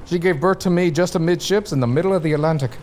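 A man's voice: on the high side, fast talker, a little mellow, a little hiss or hum, natural voice. A man playing a hearty, food-loving, teasing sailor who talks colloquially in a comic audio drama. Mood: hearty, jolly. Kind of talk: gothic comedy audio drama dialogue.

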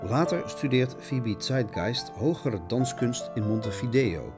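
A man's voice: mid-range voice, expressive, unhurried, music in the background, lightly acted. A man playing an emphatic, announcer-like narrator of a pulpy comic-strip radio play. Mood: dramatic, announcing.

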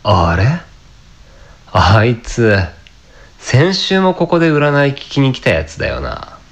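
A man's voice: medium pitch, sing-song, slow pace. A man playing a cynical, lazy part-time fake fortune teller in a radio drama, grumbling in casual, blunt speech. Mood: annoyed. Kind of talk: amateur radio drama acting and narration.

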